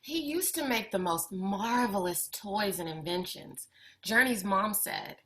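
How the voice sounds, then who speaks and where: Female storyteller for children's read-aloud, unhurried and bright, expressive delivery. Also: mid-range voice. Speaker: storyteller reading a picture book aloud.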